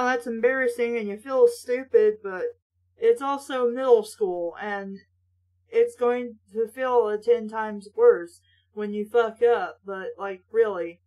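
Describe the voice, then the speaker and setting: A woman's voice: on the high side, highs cut off. A woman reading aloud and breaking off for blunt, exasperated, sweary, sarcastic commentary. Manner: casual, sweary. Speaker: host of a book-mocking reading show.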